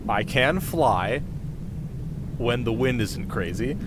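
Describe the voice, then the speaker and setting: A man's voice: mid-range voice, animated, slow, noisy background. A man playing a cocky, playful winter spirit in an ASMR roleplay, speaking straight to the listener in flippant, sarcastic, casual banter. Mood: nervous, urgent.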